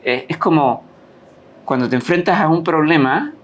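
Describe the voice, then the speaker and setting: A man's voice: on the high side, animated, moderate pace. A man hosting a podcast interview, talking casually and agreeably, often backing up his guest. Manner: agreeable, frequent short backchannels. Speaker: podcast host.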